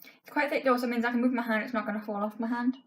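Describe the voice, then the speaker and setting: A woman's voice: on the high side, fairly even intonation, racing. Young woman losing her voice. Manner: chatty, frank, many fillers like "um" and "like". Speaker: beauty vlogger. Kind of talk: casual makeup tutorial chat. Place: bedroom, indoors.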